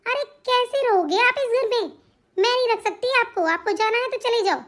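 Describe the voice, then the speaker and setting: A woman's voice: extremely high pitch, moderate pace, fairly bright tone. A woman voicing a domineering, scornful young daughter-in-law in a family cartoon drama, bossy and scolding. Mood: scornful, angry.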